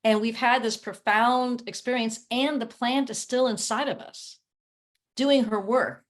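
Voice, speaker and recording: A woman's voice: fairly high pitch, fairly even intonation, unhurried. A woman speaking conversationally as a guest coach on a video call, explaining things in an earnest, reflective way. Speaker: guest, coach working with clients. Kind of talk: conversational interview.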